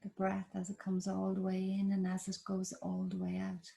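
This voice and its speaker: A woman with an Irish accent leading a guided body scan meditation in a slow, soft, soothing manner. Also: mid-range voice, monotone, gravelly. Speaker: meditation teacher.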